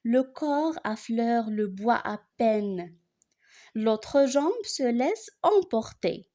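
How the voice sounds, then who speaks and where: A woman reciting a poem for learners in an expressive way, phrasing it deliberately line by line. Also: fairly high pitch.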